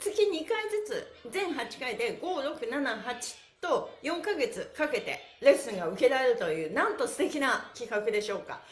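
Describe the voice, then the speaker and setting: A middle-aged woman with a high voice that swoops up and down as she leads a livestream class. She is chirpy and encouraging, like an instructor cheering everyone on. The small bare room rings clearly around her. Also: roomy sound. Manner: animated, chirpy, exclaiming and encouraging, often laughing. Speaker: pantomime and stretching instructor, livestream host. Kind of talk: upbeat livestream exercise class and chat. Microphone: device mic a step or two away.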